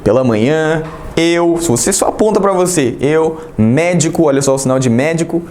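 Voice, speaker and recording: A man's voice: a little high, normal speed, a little mellow, echoey room, a little hiss or hum. A man teaching an online sign language lesson, talking straight to the viewer in an encouraging, direct way. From Brazil.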